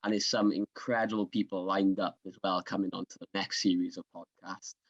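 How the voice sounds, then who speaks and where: Upbeat host from Swansea, Welsh accent, lowish voice, dull lo-fi sound. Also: male voice, little pitch movement, brisk. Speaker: podcast host. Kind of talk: conversational interview.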